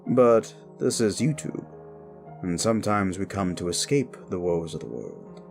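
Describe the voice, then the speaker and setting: A man speaking to his audience as an audio roleplay creator, with theatrical, deliberate phrasing. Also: deep voice, big pitch swings, gravelly, music in the background. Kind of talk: outro addressing the audience.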